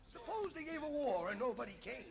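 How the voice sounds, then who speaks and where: Man acting a creaky, theatrical trailer voice. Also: very high voice, very animated, fairly quick, music in the background, exaggerated voice. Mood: declamatory. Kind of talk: vintage satirical film trailer.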